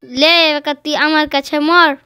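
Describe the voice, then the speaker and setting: A man voicing a small orphaned magic bird, thin, squeaky and childlike, in an amateur cartoon. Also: flat delivery, a little slow, sharp tone, dry recording, clean studio sound, heavily acted. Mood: defiant, threatening.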